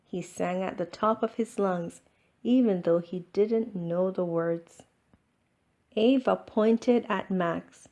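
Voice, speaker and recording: A woman reading a children's storybook aloud as scripted narration, expressive and drawing out words. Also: mid-range voice, takes it slowly. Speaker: storybook reader.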